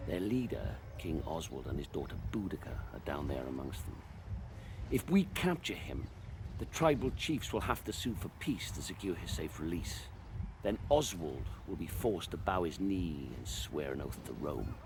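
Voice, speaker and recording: An actor playing a gruff, commanding Roman general in a video game cutscene, with forceful oratory. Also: male voice, sits low, lively intonation, moderate pace, background noise. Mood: stern, measured. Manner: forceful oratory, barking orders to troops. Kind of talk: video game cinematic acting.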